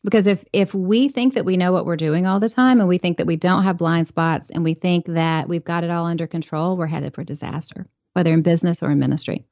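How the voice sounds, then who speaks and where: A woman's voice: fairly low pitch, fairly even intonation, fairly quick, few pauses, darkish tone, no room sound, clean studio sound. Earnest, reflective woman podcast guest. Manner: earnest, reflective, faith-based. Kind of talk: conversational interview.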